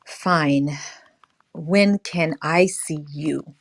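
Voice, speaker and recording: A woman playing a serious, worried young woman in a sci-fi screenplay table read, speaking in a measured way. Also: low voice, no room sound, clean studio sound, heavily acted. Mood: guarded concern.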